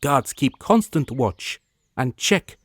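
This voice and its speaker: Animated gossipy male palace servant. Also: mid-range voice, takes it slowly, no room sound, studio quality. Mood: gossipy, pitying. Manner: theatrical, deferential, confiding. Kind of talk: radio drama acting.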